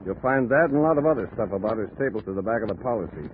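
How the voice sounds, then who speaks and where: Actor as a blunt racketeer on tinny old radio. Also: male voice, on the low side, expressive, rapid-fire, lightly acted. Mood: businesslike. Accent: American. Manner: blunt, streetwise. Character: blunt, slangy male racketeer, an ex-convict. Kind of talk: 1940s radio crime drama acting. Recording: narrow, faintly noisy old radio recording.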